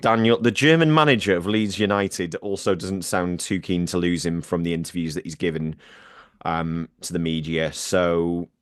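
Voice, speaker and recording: Low-voiced man reading the news roundup. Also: steady tone, moderate pace, smooth, dry recording, studio quality. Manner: chatty, self-deprecating, with fillers. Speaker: podcast co-host presenting news roundup. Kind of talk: casual podcast chat.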